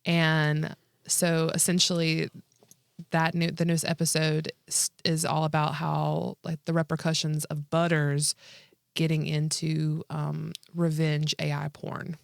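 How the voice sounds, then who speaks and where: A woman's voice: deep voice, monotone, measured pace, vocal fry, no room sound, clean studio sound. A woman in her thirties co-hosting a podcast in a casual comedic chat, speaking candidly with lots of slang and frequent filler "like". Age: 30s. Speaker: podcast co-host.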